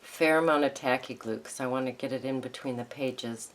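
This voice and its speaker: A hoarse woman with a froggy voice hosting a casual crafting tutorial, chatting and thinking aloud with ums as she works. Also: very deep voice, a little fast. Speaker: craft video host.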